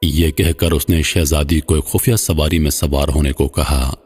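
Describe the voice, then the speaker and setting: A man narrating a scripted moral folk tale in formal literary style, with a measured, solemn delivery. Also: a bass voice, fairly even intonation, a little mellow, slightly rough. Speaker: storyteller, narrator. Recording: long hall-like reverb, slightly muffled voice.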